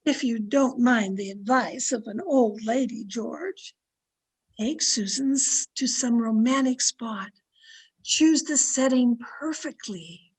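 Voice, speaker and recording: A woman playing a sly, flattering old aunt in an old-time radio comedy, coy and sweetly confiding. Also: on the high side, slow, breathy edge, low-quality recording. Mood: encouraging. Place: home, online video-call production.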